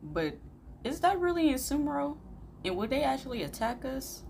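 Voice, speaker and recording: A young woman giving casual, expressive reaction commentary in an informal, admiring way. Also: sits high, normal speed, creaky, slight background noise. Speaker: reaction video host.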